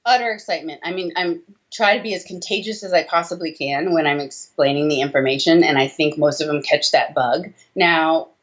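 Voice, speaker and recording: An American woman with a fairly low voice speaks as an expert guest in an interview. She explains emphatically, checking in with short tag questions. The video-call audio is a little dull. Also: a little fast. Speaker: doctor, interview guest, author. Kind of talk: conversational interview answers on health. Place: small room indoors, on a video call.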